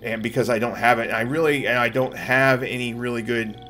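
A man's voice: mid-range voice, steady tone, brisk, bright, forceful tone. A middle-aged man giving casual live commentary as he plays a game. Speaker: game streamer. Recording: game music behind the voice. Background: game music.

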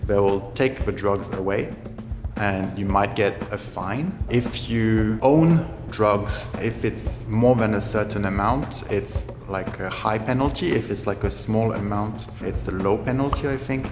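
Guy calmly explaining stuff, lowish voice, roomy echo, music under. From France. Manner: measured, explains step by step. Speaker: interview guest. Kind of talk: answering questions to camera. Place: studio with grey backdrop. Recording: echoey studio with music behind.

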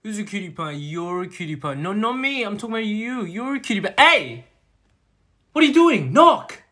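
A man's voice: medium pitch, animated, a little fast, breathy edge. A man playing a crime boss boyfriend in a roleplay skit, doting on his girlfriend with baby talk and menacing toward everyone else. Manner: switches between sugary baby talk and cold threats.

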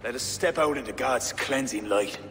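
A man playing a proud, pious middle-aged high king in a video game, formal and declamatory. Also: moderate pace, a bit bright, faint music behind, natural voice. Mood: proud, reflective. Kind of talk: dramatic video game acting.

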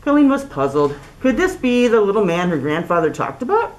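A middle-aged woman narrates a children's picture book. Her delivery is expressive and deliberate, with lively swings in pitch. She speaks through a cloth mask in a small indoor room. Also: low-quality recording. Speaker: children's story reader. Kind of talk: read-aloud storybook narration. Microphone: camera a few feet away, speaking through cloth mask.

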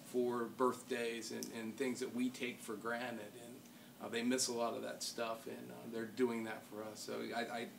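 Distant, gravelly American man chairing a meeting. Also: mid-range voice, little pitch movement, moderate pace. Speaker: city council president chairing a meeting. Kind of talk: formal meeting procedure with informal asides. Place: wood-paneled council chamber. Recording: distant room microphone, faint background noise.

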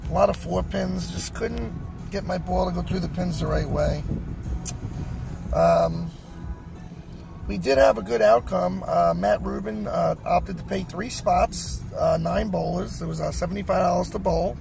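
A man's voice: high voice, animated, measured pace, creaky, music in the background. A middle-aged man giving a casual recap to camera, repeating his phrases for emphasis, recorded on a phone inside a car. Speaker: bowler and vlogger. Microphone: phone at arm's length.

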